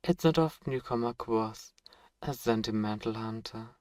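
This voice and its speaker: A man's voice: lowish voice, normal speed, dry recording, studio quality, close to an everyday voice. A man playing a suave, possessive, menacing vampire lord in an ASMR roleplay, speaking in a formal, mockingly tender way. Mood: mocking, courtly. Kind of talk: ASMR roleplay audio drama.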